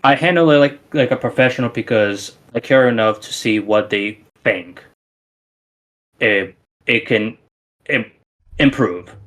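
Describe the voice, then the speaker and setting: A man's voice: medium pitch, unhurried, pauses often, fairly bright tone. A man with a Romanian accent, a musician guest on a casual podcast, talking in an emphatic, rambling way with many restarts.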